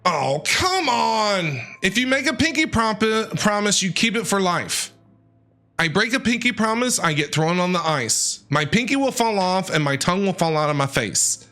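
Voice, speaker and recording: A man's voice: sits high, very animated, normal speed, bright, forceful tone. A man doing live reaction commentary on a game, talking back to the characters in a playfully dramatic way. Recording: game music behind.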